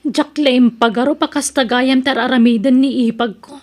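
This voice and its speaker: A woman voicing a sharp-tongued, gossipy woman in an audio drama who talks pointedly about family trouble. Her voice is high and carries easily. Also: fairly even intonation, normal speed.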